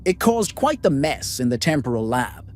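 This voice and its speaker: A man's voice: medium pitch, big pitch swings, normal speed, a little hiss or hum, natural voice. A man playing a blunt, questioning religious brother among a starship crew in an amateur sci-fi drama. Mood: matter-of-fact.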